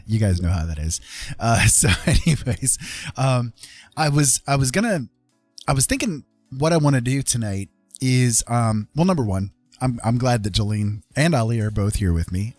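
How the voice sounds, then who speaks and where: A man's voice: lowish voice, darkish tone, no echo. A man hosting a casual livestream, chatting in a jokey, rambling way. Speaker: livestream host and artist.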